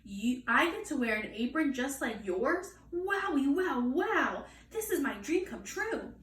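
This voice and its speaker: A woman voicing an excitable, boastful little schoolgirl from a storybook, gushing and emphatic with childlike grammar. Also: high voice, measured pace, pitched above the performer's own voice, exaggerated voice. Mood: thrilled. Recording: roomy, distant mic, faint noise.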